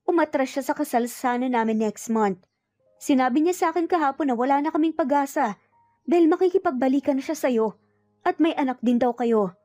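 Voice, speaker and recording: Haughty, jealous young heiress, played by a female narrator, high-voiced and confrontational, for romance audio drama. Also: dark tone. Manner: demanding, indignant, confrontational. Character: haughty, angry rich young woman, jealous rival.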